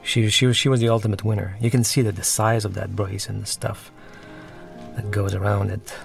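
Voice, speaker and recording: Low, gravelly man giving film commentary. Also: little pitch movement, moderate pace. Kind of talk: film audio commentary, casual and reflective. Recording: film soundtrack playing underneath. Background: film music and dialogue.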